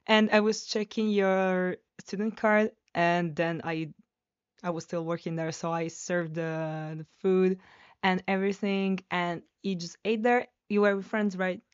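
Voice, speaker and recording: A woman's voice: fairly low pitch, steady tone, measured pace, clear, resonant tone, dry recording, lo-fi sound. A woman in her twenties from Sardinia with an Italian accent, chatting as a podcast guest and sometimes searching for the English word. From Sardinia, Italy. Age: 20s. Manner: chatty, searches for English words, slips into French. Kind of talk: casual podcast chat. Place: student residence room.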